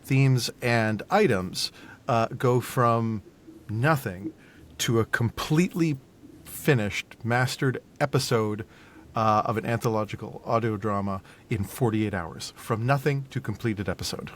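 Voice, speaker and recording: A man of average pitch talks as a guest in a casual interview. He comes across as an enthusiastic audio producer. There is a low rumble of noise behind him. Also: measured pace.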